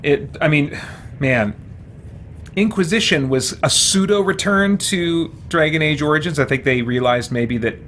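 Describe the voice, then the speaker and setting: A man's voice: medium pitch, fairly bright tone, slight background noise. A man streaming a video game, chatting casually and thinking aloud in a rambling, musing way. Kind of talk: casual livestream chat over gameplay.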